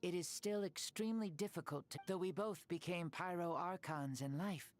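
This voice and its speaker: An actor playing an aged legendary warrior leader in a video game, speaking formally with solemn, measured dignity. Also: male voice, sits high, lightly acted. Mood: solemn, grateful. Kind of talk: dramatic video game voice acting. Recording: game dialogue under background music.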